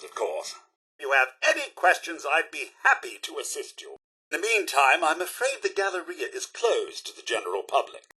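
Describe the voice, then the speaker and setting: A chipper, fussy British robot butler from a video game. The voice sits high with a rough, husky edge and leaps dramatically up and down. Every phrase is pompous, prim and formally courteous. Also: male voice, bright, forceful tone, exaggerated voice. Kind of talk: theatrical video game character lines.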